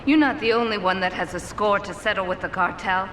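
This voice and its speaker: Woman doing a ruthless Yakuza boss lady, icy and contemptuous, bright sharp tone, echoey reverb. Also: medium pitch, brisk, a little hiss or hum, natural voice. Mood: menacing. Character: cold, sadistic female Yakuza boss seeking revenge.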